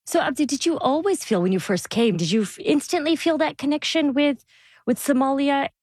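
A woman hosting an interview, asking measured, probing questions. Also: high-pitched, a little mellow, dry recording, studio quality. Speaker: interview host.

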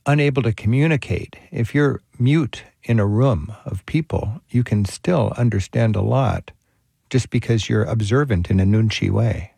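A man's voice: on the low side, normal speed, creaky, warm tone, slightly rough, dry recording, studio quality. A man hosting a radio travel show, interviewing a guest in a casual, curious way and reading out topics one by one.

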